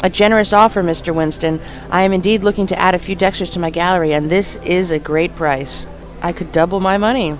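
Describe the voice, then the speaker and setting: A woman voicing a smooth, affected male art dealer in a video game, reading his lines aloud with a drawl. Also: medium pitch, fairly even intonation, brisk, natural voice, poor audio. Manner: drawling, affected, calls people darling.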